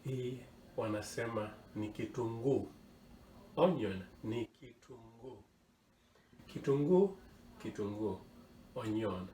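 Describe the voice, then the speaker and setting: A man's voice: fairly low pitch, expressive, slow, long pauses, warm tone. A man from southern Georgia with a Georgia accent, casually walking through a recipe as host of a home cooking show, repeating words for emphasis. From southern Georgia, USA. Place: home kitchen. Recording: echoey kitchen, distant mic, noisy background.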